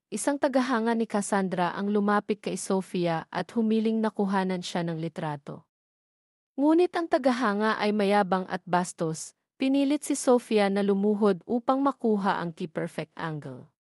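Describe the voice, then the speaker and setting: A woman narrating a romantic audio drama in a steady, even read. Her voice sits at an ordinary mid pitch. It is recorded close and dry, with no room sound. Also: no echo. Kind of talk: scripted story narration.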